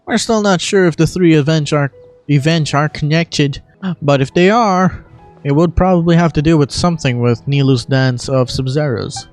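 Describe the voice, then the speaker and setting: A woman's voice: sits low, moderate pace, darkish tone, faint music behind, natural voice. A woman acting an earnest, dutiful, concerned adventurer in scripted video game dialogue.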